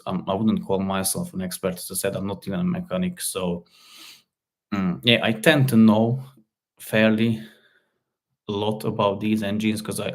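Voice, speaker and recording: Low-voiced Hungarian-speaking man chatting on stream. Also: creaky. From Slovakia. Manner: halting, repeats words, many fillers. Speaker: live stream host, car repair hobbyist. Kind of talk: casual live stream chat reading comments.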